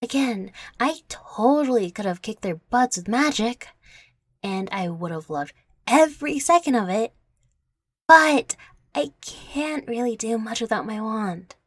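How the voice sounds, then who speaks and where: A woman's voice: on the high side, animated, unhurried, no echo. A woman playing a proud, combative young fairy in an audio roleplay, brash and sarcastic. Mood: indignant, boastful.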